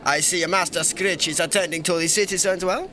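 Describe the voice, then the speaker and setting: A man's voice: high-pitched, steady tone, fairly quick, sharp tone, a little hiss or hum. A man playing a young, mischievous and irreverent emperor in a fantasy audio drama, with a theatrical, teasing, breezy delivery. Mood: playful, boisterous. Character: young playful irreverent male emperor, secretly informal and mischievous.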